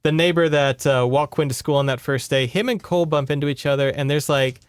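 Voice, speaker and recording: Clear-voiced man casually retelling a story. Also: a little high, brisk, no echo, studio quality. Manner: conversational, colloquial, checks in with listeners. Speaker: podcast host retelling a book. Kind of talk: casual story recap chat.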